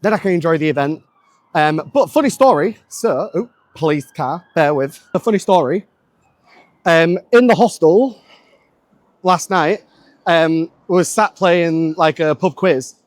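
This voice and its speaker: A man's voice: a little high, lively intonation, measured pace, no echo. A man from Manchester, a business founder chatting casually to camera in a rambling way, full of "um" and "like". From Manchester, England. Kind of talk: casual vlog chat.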